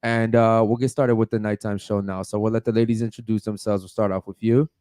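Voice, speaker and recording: A man's voice: fairly low pitch, flat delivery, fast talker, warm tone, no room sound, clean studio sound. A man co-hosting a podcast, loosely calling out sponsors and asking the panel questions. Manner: loose, easygoing, lots of "man" and "uh". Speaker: podcast co-host. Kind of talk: casual podcast chat, reading shout-outs.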